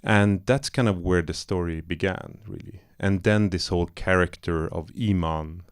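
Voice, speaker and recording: Man from Iran, very low voice, smooth tone, cinema Q&A, loose halting talk. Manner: loose, searching, many fillers and restarts. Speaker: film director. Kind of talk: post-screening Q&A talk. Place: cinema auditorium.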